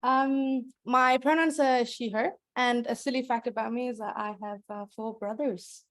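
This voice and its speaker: A woman's voice: a little high, fairly even intonation, normal speed, dry recording. A woman, a student introducing herself in an informal way. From Denmark. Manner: informal, slangy. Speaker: master's student. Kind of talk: casual self-introduction.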